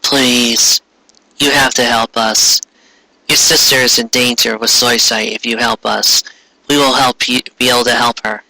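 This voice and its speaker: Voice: mid-range voice, steady tone, measured pace, sharp tone, dry recording, pitched below the performer's own voice, heavily acted, rough recording. A performer voicing a serious, tough teenage heroine in a dramatic fan fiction reading. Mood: pleading.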